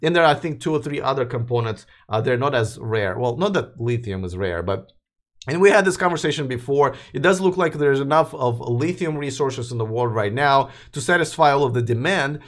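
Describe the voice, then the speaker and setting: Tired man hosting a livestream, mid-pitched voice, loose and rambling, heavy on fillers. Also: lively intonation, a little fast. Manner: rambling, many fillers and restarts. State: tired, voice wearing out. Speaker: host of an electric car news livestream. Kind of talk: casual live chat, reading viewer comments.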